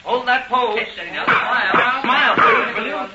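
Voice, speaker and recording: An actor playing a brash, eager, wisecracking American newspaper reporter in an old-time radio play, pushy and excitable. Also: male voice, very high-pitched, takes it slowly. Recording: hissy, dull old radio recording.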